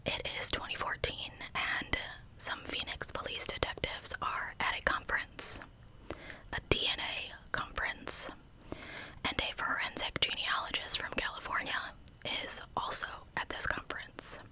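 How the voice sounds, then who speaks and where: A woman narrating a story in a breathy, hushed ASMR whisper, with informal storytelling phrasing, close to the microphone. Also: measured pace, low-quality recording. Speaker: true crime ASMR host.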